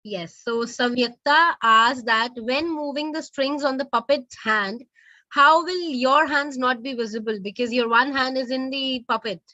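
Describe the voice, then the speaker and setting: Bright-voiced woman moderating, lo-fi audio. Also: high voice, measured pace, no echo. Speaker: moderator relaying students' questions. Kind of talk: moderating a live Q&A.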